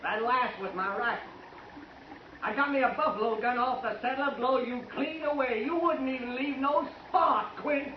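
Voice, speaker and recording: A man's voice: very high-pitched, normal speed, distant mic, a little hiss or hum. An actor playing a bitter, vengeful frontier gunman who is a coward at heart, taunting in a menacing drawl in a 1950s radio drama. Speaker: Patchen. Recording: dull echoey old radio recording, off-mic.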